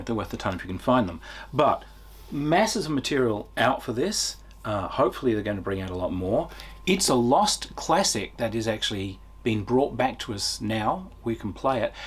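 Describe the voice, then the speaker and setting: A man giving an unscripted, enthusiastic game review in a conversational way, with emphatic stresses and a husky voice. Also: mid-range voice. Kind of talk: unscripted review talk to viewers.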